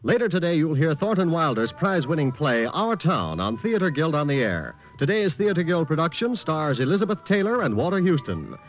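A man's voice: on the high side, sing-song, brisk, hardly any pauses. A man announcing in polished, declamatory mid-century radio style. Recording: muffled old broadcast recording. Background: music.

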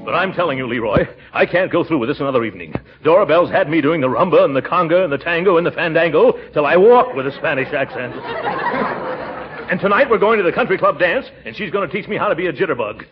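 An actor playing a blustering, put-upon but soft-hearted middle-aged uncle in a 1940s radio comedy, hesitant and chuckly. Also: male voice, a little high, animated, quick pace, over music, crowd noise, natural voice. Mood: exasperated. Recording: muffled old radio recording, noisy, audience.

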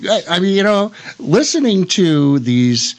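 A man hosting a talk show, mixing casual chat with read notes, halting and repeating words. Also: sits high, very animated. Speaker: radio show host.

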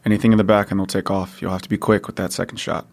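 A tired, scruffy dad in his forties played by a man, with a very deep voice and a quick, clipped delivery. He sounds weary but protective. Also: level tone, darkish tone. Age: 40s. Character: worn-down scruffy tired father. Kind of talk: audio drama acting.

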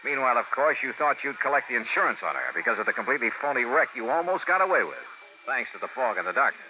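An American actor playing a confident, wry, hard-nosed insurance investigator in a 1950s radio drama, clipped and punchy. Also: male voice, medium pitch, very animated, fast, background noise, natural voice. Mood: accusing. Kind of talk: 1950s radio drama acting, first-person narration and dialogue. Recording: muffled old 1950s radio recording with music.

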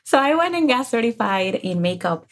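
An energetic woman in her thirties from the Dominican Republic, speaking with a Dominican Spanish accent as a guest on an interview podcast, who laughs often. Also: a little high, moderate pace. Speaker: guest, career coach. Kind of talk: casual interview chat.

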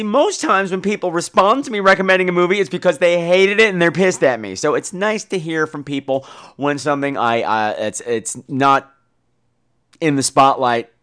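A man hosting a podcast, chatting casually about films in an animated, theatrical, wisecracking way. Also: fairly high pitch, moderate pace, clean tone. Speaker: podcast host. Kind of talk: casual film chat responding to a listener call.

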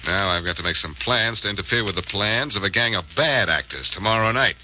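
An actor playing a confident, playful amateur detective with wry banter in a 1940s radio drama. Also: male voice, lowish voice, expressive, brisk, natural voice. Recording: noisy, dull old radio recording.

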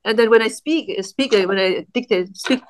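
A woman in her forties with a German accent, talking as an interview guest with halting, restarting speech and frequent laughs, her speech affected by illness. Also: on the high side. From Austria. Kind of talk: casual interview conversation.

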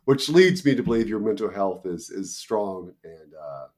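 A man co-hosting a podcast, speaking in a measured, thoughtful way with fillers. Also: on the low side, lively intonation, a little mellow. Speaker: podcast co-host. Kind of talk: casual interview chat.

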